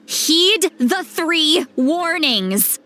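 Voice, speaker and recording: A woman's voice: very high-pitched, expressive, extremely slow, pushed and bright, full character voice. A woman playing a feisty, hot-tempered older granny in a video game, brash and blunt. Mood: angry. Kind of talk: video game character acting.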